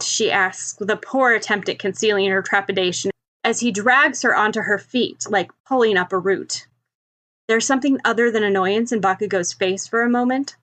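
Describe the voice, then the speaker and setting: A woman narrates a scripted story in the manner of an audiobook. Her voice has a medium pitch for a woman. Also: fairly bright tone. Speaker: podfic narrator.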